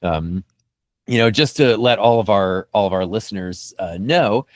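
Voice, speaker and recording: Low-voiced man hosting a casual podcast. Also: no echo, clean studio sound. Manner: halting, repeats phrases as he talks. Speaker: podcast host.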